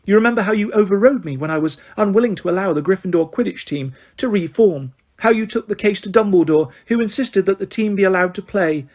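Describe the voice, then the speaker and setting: A man's voice: high voice, quick pace, hardly any pauses, darkish tone, voice pushed up. A man doing a poisonously sweet simpering official woman. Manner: sickly sweet, fussy.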